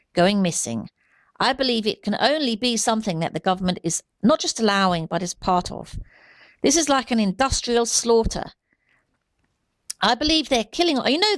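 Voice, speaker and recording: A woman giving an unscripted opinion monologue in a halting, deliberate, earnest way. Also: mid-range voice, gravelly, dry recording. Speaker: amateur video commentator.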